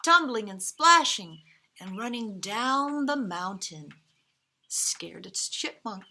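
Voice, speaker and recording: A woman reading a picture book aloud to young children, outdoors, with dramatic pauses, emphasized key words and gentle asides to her listeners. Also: medium pitch, animated. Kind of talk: expressive children's read-aloud.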